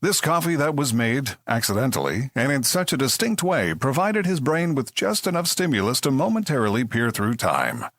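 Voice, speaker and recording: A man playing a mock-serious narrator of a comedy space drama, deadpan and grand. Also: medium pitch, moderate pace, hardly any pauses, dry recording, studio quality.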